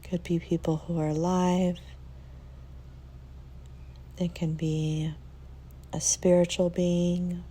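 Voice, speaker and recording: A woman leading a guided meditation in a soft, soothing way, leaving long pauses between phrases. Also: fairly low pitch, little pitch movement, slightly rough.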